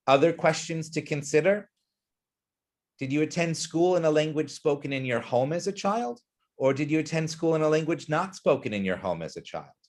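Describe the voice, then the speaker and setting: A man's voice: fairly high pitch, animated, normal speed, a little mellow. A man presenting an education webinar, explaining ideas earnestly and putting questions to the audience.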